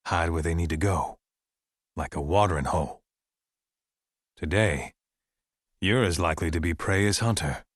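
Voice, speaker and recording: A middle-aged American survivalist broadcaster for a video game. His low voice is dry and close, with no room around it. He preaches in a folksy, deliberate way, as a distrustful militia leader addressing his people by radio. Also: dry recording. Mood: folksy, steady. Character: paranoid male survivalist militia leader broadcasting anti-government radio sermons. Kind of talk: dramatic radio monologue.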